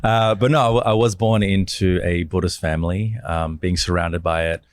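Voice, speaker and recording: Older Australian man, low-voiced storyteller. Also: normal speed. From Sri Lanka, raised in Australia. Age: 70s. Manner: relaxed storytelling with frequent fillers. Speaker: meditation teacher, panel guest. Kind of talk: conversational panel talk.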